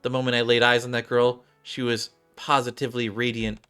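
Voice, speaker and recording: A man voicing a shy, earnest young android gamer girl from a game story, halting and heartfelt. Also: mid-range voice, monotone, a bit bright, clear, resonant tone, faint music behind, voice pushed up, close to an everyday voice. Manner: halting, heartfelt, repeats and restarts.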